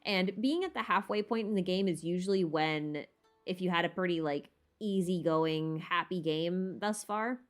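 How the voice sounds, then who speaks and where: A woman hosting a casual livestream Q&A, talking informally and hesitantly as she thinks aloud. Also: on the low side. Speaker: livestream host answering viewer questions. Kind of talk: casual livestream Q&A chat about game lore.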